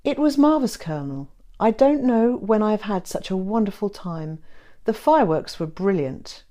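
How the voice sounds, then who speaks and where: A woman's voice: mid-range voice, expressive, normal speed, dark tone, lightly acted. A woman voicing an affectionate, polite young bride-to-be in a romance audiobook. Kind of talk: romance audiobook character reading.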